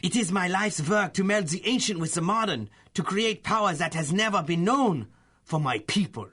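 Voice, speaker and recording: An actor playing a pompous, fanatical German professor villain with a German accent in a 1930s-style radio adventure serial, gloating theatrically with drawn-out emphasis. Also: male voice, sits high, animated, bright, forceful tone, husky, full character voice. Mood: menacing, boastful.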